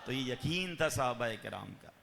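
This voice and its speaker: A man preaching a sermon, fervent, with raised peaks and slow drawn-out chanting. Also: medium pitch, expressive, creaky, a bit bright, slight background noise. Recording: long hall reverb.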